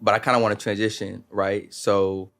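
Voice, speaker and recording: Young man, podcast co-host, low voice, monotone, measured earnest questions. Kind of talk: casual podcast conversation.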